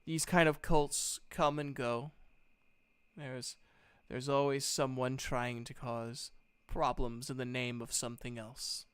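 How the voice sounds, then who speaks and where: A man's voice: medium pitch, little pitch movement, slow, fairly bright tone, smooth, dry recording. A man running a tabletop game as game master, narrating casually with an informal, playful, reassuring manner.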